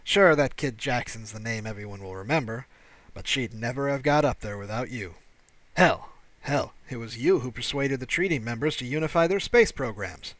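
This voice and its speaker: A man's voice: mid-range voice, expressive, moderate pace, a bit bright, no room sound, natural voice. A man voicing a frank, encouraging male aide who speaks emphatically and plainly in an audiobook. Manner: emphatic, matey, plain-spoken. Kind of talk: audiobook character dialogue.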